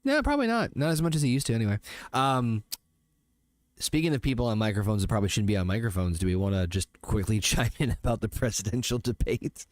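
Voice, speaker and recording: Easygoing man with a low, dry voice. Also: big pitch swings, fast, no echo. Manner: easygoing, laughs often, hesitant restarts. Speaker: podcast co-host. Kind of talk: casual chat.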